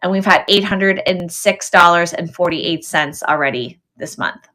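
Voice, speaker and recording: Woman, livestream seller, lowish pitch, very bright forward tone, casual, chatty, thinking out loud. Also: normal speed, slight background noise. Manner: chatty, thinks aloud, reads and answers viewer comments. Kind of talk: casual livestream chat with viewers.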